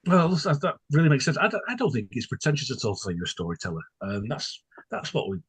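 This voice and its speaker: A man hosting a casual interview, asking long, halting questions with asides along the way. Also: mid-range voice, expressive, slightly rough, no echo. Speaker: podcast host, interviewer.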